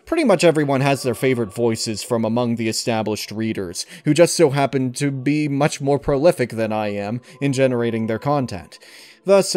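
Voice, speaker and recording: Male interviewee, mid-pitched, dry close sound, formal and deliberate phrasing, articulate. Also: darkish tone, dry recording. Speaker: channel host and audio story reader being interviewed. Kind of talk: thoughtful interview answers.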